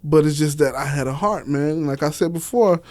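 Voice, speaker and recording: Slangy man, slightly high voice. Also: normal speed, darkish tone, no echo. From Miami, Florida. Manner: slangy, unfiltered, swears freely. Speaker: interview guest, author and stage play director. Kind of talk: casual personal storytelling in an interview.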